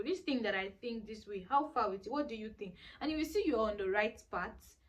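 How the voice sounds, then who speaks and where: An earnest woman talks straight to her viewers in a casual vlog. Her pitch sits in an ordinary middle range. She preaches and repeats herself to drive each point home. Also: fairly bright tone. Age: 20s. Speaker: vlogger. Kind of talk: casual advice monologue.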